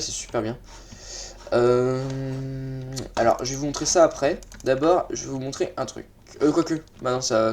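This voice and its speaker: Male let's play host, slightly congested, casual and hesitant, mid pitch, faint noise with keyboard clicks. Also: a little mellow. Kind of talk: casual gameplay commentary. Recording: noisy mic with keyboard clicks.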